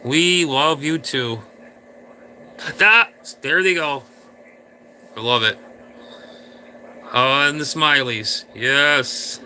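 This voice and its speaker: A man's voice: a little high, extremely slow, long pauses, bright, forceful tone, a little hiss or hum. A man hosting a casual live stream, rambling playfully and talking straight to his viewers from a room indoors.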